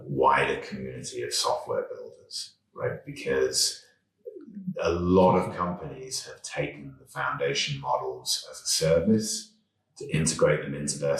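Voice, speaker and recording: A man's voice: a bass voice, reverberant, far from the mic, low-quality recording. A man hosting a podcast, asking probing questions and giving brief acknowledgements. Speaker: podcast host. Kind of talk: interviewing, casual chat.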